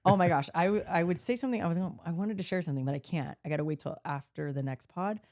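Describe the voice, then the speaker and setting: A woman with a deeper voice co-hosting a podcast, chatting casually, reading out listener messages and laughing as she swears playfully. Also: rapid-fire, a little mellow. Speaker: podcast co-host. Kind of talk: casual chat.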